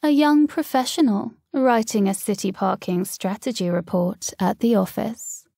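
A woman's voice: a little high, moderate pace, vocal fry, mellow, dry recording, studio quality. A woman reading scripted literary short story narration.

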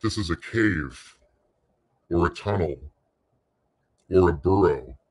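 Very slow, low-voiced male meditation guide. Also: expressive, darkish tone, slightly rough. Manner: soothing, unhurried, pausing between short phrases.

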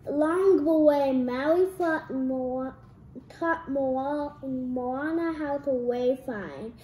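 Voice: sits high, extremely slow, mellow, slight background noise. A young-sounding reader reading a storybook aloud carefully, restarting phrases after stumbles, in an echoey room.

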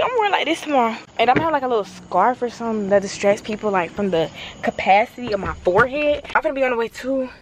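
A woman's voice: fairly high pitch, a little hiss or hum, poor audio. A teenage girl in casual, playful vlog chat, talking to her phone camera at home and in a store. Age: teens. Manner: chatty, playful, quick asides and laughs. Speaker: vlogger. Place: at home in a bedroom, later in a large store. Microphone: phone camera held at arm's length.

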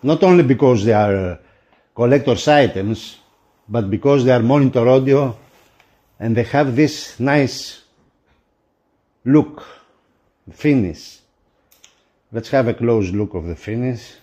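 Slow, mellow man reviewing. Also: mid-range voice. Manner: halting, broken phrasing in non-native English. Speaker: product reviewer for a magazine. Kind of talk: unboxing commentary.

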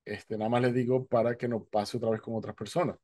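A man's voice: mid-range voice, level tone, fast, warm tone, no echo, clean studio sound. A man in his forties telling a personal testimony on a headset mic at home, acting out the dialogue as he goes. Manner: vivid storyteller, acting out dialogue. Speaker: guest speaker. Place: home, indoors, virtual background.

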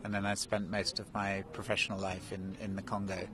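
A man's voice: low-pitched, completely flat delivery, brisk, noisy background. A man in his forties who grew up in Kenya, answering questions in a live outdoor TV interview, hesitant with many ums and restarts. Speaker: interview guest, national park director. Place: outdoors in snow. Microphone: handheld mic held by interviewer.

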